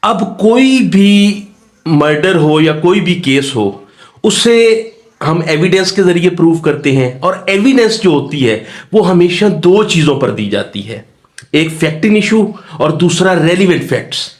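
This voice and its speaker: A man's voice: on the high side, expressive, a little slow. A man giving an instructional lecture, didactic and repeating key points as he explains. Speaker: law lecturer. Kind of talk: exam-focused lecture mixing Urdu-Hindi and English terms.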